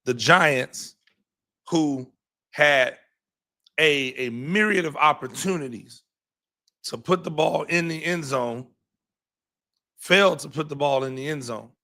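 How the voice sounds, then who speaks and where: A hoarse man hosting a live postgame football reaction show, rambling and repeating words, with many ums. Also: fairly high pitch, slow, pauses often, sharp tone. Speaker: podcast host and high school football coach.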